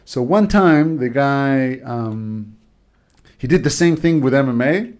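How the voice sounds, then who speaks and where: A man's voice: mid-range voice, animated, takes it slowly, darkish tone. Guy hosting a podcast, loose and chatty, lots of ums. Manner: chatty, loose, many fillers. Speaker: podcast host. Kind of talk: casual podcast chat, reading jokes.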